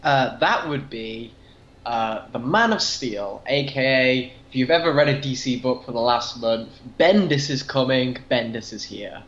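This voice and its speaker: A man's voice: medium pitch, lively intonation, a little slow, a bit bright, echoey room, highs cut off. A British man speaking as a podcast guest in casual, opinionated discussion. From Britain. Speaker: podcast guest and comic book fan.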